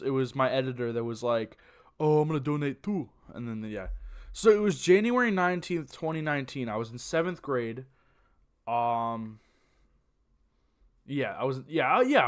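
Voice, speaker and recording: A teenage guy streaming from his room, talking into a desk mic in a somewhat high voice. He rambles loosely, circling back with "like" and "um" and correcting himself mid-thought. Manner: rambling, with many fillers and self-corrections. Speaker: streamer reacting to videos. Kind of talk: casual live-stream chat.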